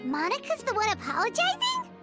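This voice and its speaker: A woman voicing a tiny, excitable, childlike fairy companion in a video game. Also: very high voice, a little fast, heavily acted. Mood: indignant. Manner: refers to self in third person, exclamatory. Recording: prominent game music behind voice.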